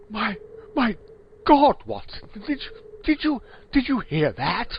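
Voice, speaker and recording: A man's voice: high-pitched, very animated, slow pace, highs cut off, very noisy, exaggerated voice. A man playing an eccentric, cowardly, bumbling detective in a comic audio drama, theatrical and melodramatic. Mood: terrified, whimpering.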